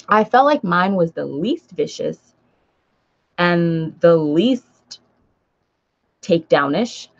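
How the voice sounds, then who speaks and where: A woman with an American accent talks casually to livestream viewers in a low voice with plenty of vocal fry. She stresses her points by repeating them. The audio is a little dull and lo-fi. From Nigeria until age seven, then raised in the United States. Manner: emphatic, repeats phrases for stress, checks in with "right?". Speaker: book vlogger and writer hosting a livestream. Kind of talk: casual livestream chat, responding to comments.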